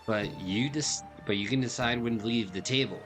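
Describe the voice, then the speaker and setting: A man's voice: mid-range voice, normal speed, fairly bright tone, soft background music. A man streaming a game, giving casual, joking, slangy commentary as he plays.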